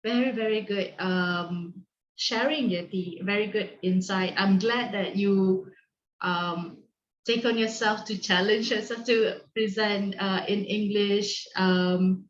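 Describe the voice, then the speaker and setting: A woman from Malaysia hosts an online teacher discussion, thinking aloud with lots of fillers and pauses. Her voice comes over a dull, muffled video call line in an echoey room. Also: mid-range voice, fairly even intonation, slight background noise. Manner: reflective, hesitant with many fillers. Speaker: host.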